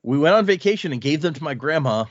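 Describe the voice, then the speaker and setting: A man hosting a podcast, reading a listener's email aloud and adding his own quick asides between lines. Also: fairly high pitch, dry recording. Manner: reads with lively asides and comments between lines. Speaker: podcast host. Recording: dry, slightly dull lo-fi sound.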